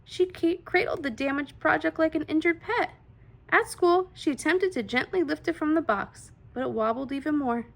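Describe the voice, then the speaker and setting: A woman reading a children's story aloud in an expressive, deliberate style with dramatic emphasis on key words. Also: high-pitched, vocal fry. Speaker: children's read-aloud host.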